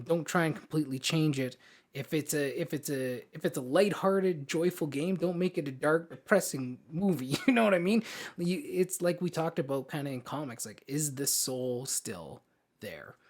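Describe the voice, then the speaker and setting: A man with a voice pitched a little above average speaks as a podcast co-host. He discusses things in a casual, opinionated way. His delivery is informal and emphatic, often repeating words to press a point. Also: lively intonation, normal speed.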